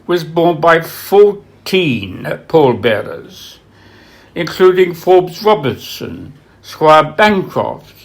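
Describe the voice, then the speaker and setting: A man reading literary biography aloud as its author, in a solemn, measured way with dramatic pauses. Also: on the high side, big pitch swings, gravelly. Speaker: author reading from own book.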